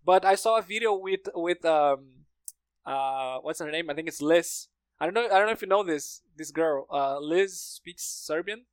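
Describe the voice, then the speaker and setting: A man's voice: fairly high pitch, normal speed, clear, resonant tone, no echo. A man from Brazil with a Brazilian accent, a native Portuguese speaker, chatting casually and telling stories with plenty of "like" and "you know". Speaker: podcast speaker, language learner. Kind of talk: casual conversation.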